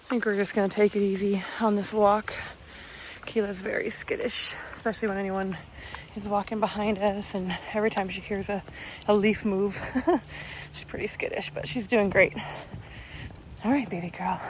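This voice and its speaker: A woman's voice: fairly even intonation, normal speed, slight background noise, rough recording. A young woman with a nasal, stuffed-up voice chatting casually in a vlog, first from her parked car and then out on a ravine walking trail. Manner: chatty, self-deprecating, talks in a high sweet voice to her dog. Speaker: vlogger.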